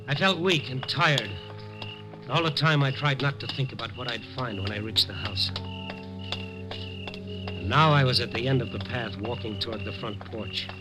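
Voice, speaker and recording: A man's voice: mid-range voice, poor audio. A man narrating as a hard-boiled reporter in a 1950s radio drama, wry and wisecracking.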